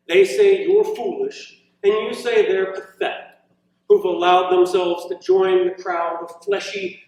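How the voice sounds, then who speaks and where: A man giving a live poetry reading, moving between measured verse and informal, hesitant asides, recorded in an echoing room. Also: high-pitched, a little mellow. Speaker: poet reading own work. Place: indoor college reading event, echoing room. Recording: very echoey room, distant mic.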